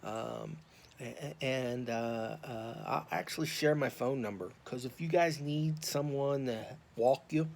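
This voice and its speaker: An American man talking off the cuff to viewers, rambling and halting but earnest and informal. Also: medium pitch, darkish tone. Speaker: YouTube vlogger and podcaster on addiction recovery. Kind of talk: impromptu, unscripted casual monologue to viewers. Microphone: phone. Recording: clear background noise, raw phone recording.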